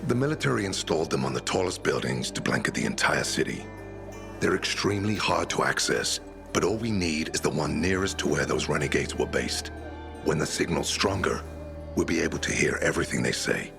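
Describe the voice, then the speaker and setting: An actor playing a weathered older rebel radio broadcaster in a video game, gravelly and persuasive, with a measured, dramatic delivery. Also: male voice, expressive, heavily acted. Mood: earnest, persuasive. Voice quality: gravelly, very deep. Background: music.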